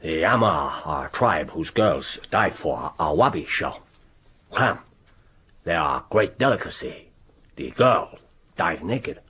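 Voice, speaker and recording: An actor playing a genial, courteous middle-aged Japanese spymaster in a radio drama, with a Japanese accent and formal, effusive manner. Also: male voice, low-pitched, lively intonation, slow, pushed and bright, breathy edge, heavily acted. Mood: suave. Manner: formal, hesitant interjections, effusive. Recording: noisy radio drama with background ambience.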